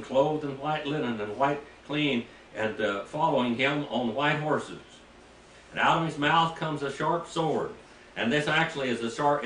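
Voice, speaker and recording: A man's voice: medium pitch, fairly bright tone, a little hiss or hum. Older male preacher teaching in an echoey room. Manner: folksy, asks the class questions, reads scripture aloud. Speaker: preacher teaching a Bible class. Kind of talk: Bible teaching lecture. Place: small church room, indoors. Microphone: room mic from a distance. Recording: echoey room, heard from a distance.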